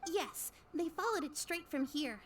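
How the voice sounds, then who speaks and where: A woman playing a gentle young townswoman in English-dubbed video game dialogue. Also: high-pitched, animated, measured pace, background noise. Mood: thoughtful.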